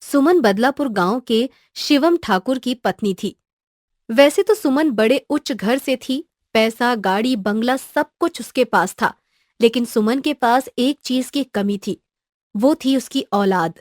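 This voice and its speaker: A woman's voice: on the high side, expressive, measured pace, no echo, studio quality. A woman reading a scripted moral story as narrator.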